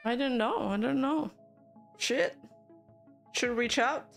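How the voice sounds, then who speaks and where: A woman's voice: high voice, takes it slowly, gravelly, soft background music. Chatty young Canadian woman streamer. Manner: chatty, laughs often, answers chat with playful denial. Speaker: VTuber commentary streamer. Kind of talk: casual live-stream chat reacting to news.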